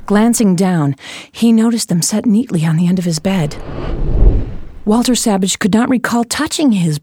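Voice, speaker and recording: A woman giving scripted, measured narration for a suspenseful audio drama. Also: lowish voice, warm tone, no room sound, clean studio sound.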